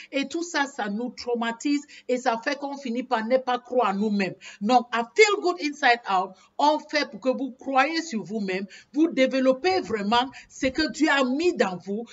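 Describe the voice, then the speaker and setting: A middle-aged woman from Cameroon with an English speaker's accent talks straight to her webcam in front of curtains. Her delivery is animated and encouraging, and she repeats words as she hunts for the right phrase. The sound is slightly muffled and lo-fi. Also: fairly high pitch. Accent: Cameroonian English speaker speaking French. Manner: animated, repeats words while searching for French phrasing, gestures with hands. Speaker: pediatrician and life coach. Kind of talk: direct-to-camera motivational talk. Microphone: webcam at desk.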